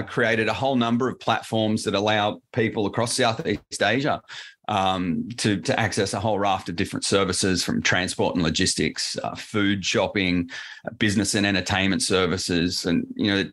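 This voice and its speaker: A man's voice: fairly low pitch, fairly bright tone. A man with an Australian accent explaining technology as an interview guest, loose and full of fillers and restarts. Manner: rambling, many fillers and restarts. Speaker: presales solution architect, guest. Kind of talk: casual interview answers.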